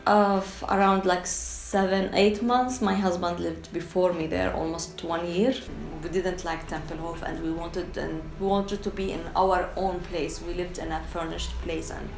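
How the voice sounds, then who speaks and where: Low-voiced Syrian woman, gravelly fry. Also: reverberant. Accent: Syrian Arabic. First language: Arabic. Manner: hesitant, searching for words, candid. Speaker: documentary interviewee, freelance translator. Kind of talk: casual documentary interview.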